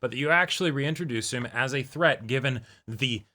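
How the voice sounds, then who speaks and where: Male co-host for casual episode talk. Bright, forceful voice, middle pitch, emphatic and informal. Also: brisk. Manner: emphatic, informal, swears freely. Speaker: co-host of a reaction show.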